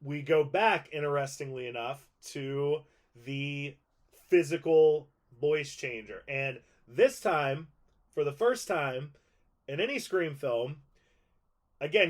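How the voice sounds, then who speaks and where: Casual man giving a rambling show-and-tell. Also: fairly high pitch. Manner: informal, rambling, conversational. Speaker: collector presenting a movie prop guide.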